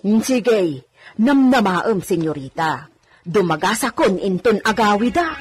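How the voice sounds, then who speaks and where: A woman's voice: mid-range voice, very animated, a little slow, breathy edge, soft background music. A woman playing a humble, deferential village housemaid who is easily flustered, in an Ilocano radio comedy drama. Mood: obliging. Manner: exclamatory, respectful, flustered.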